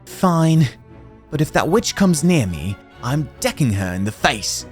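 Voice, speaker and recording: A tough young woman from a fantasy audio tale, performed by a man, sardonic and exasperated. The voice is raised in pitch and grumbles along. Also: very animated, a little slow, faint music behind. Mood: grudging. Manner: muttering, exasperated.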